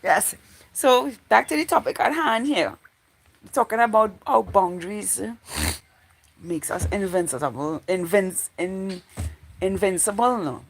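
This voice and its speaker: A woman's voice: medium pitch, animated, measured pace, creaky, slight background noise. A woman in her forties with a Trinidadian accent, chatting casually to viewers as a livestream host in a rambling, repetitive way. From Trinidad and Tobago. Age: 40s. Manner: rambling, repeats phrases while thinking aloud. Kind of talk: casual live chat with viewers.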